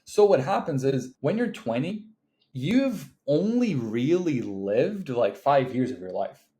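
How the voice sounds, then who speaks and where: A man's voice: medium pitch, sing-song, takes it slowly, warm tone. A man in his late twenties chatting casually in a vlog, rambling with lots of "like" and "um" and casual swearing. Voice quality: rambling, heavy use of fillers like "like" and "um", swears casually. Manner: laughs between thoughts. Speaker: video creator. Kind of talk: casual talking-to-camera vlog.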